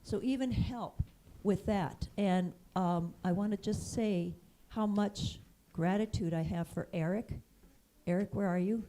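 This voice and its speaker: Soft, unhurried woman at a church mic. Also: medium pitch, poor audio. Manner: soft-spoken, hesitant, thinking aloud. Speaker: facilities chair. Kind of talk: church announcement. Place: church sanctuary. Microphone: handheld mic.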